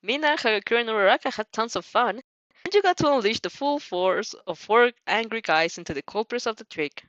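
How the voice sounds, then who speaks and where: High-pitched, expressive woman narrating fan fiction. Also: brisk, no room sound, muffled recording. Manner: giggly, often stumbles over words. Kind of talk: expressive second-person story narration.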